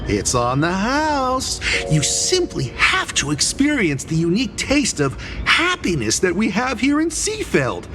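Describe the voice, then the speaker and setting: High, wildly animated gushing townsman. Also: unhurried, few pauses, soft background music, exaggerated voice. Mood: gushing, upbeat. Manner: effusive salesman patter. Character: gushing, over-cheerful male street vendor townsman devoted to his idol. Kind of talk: animated video game voice acting.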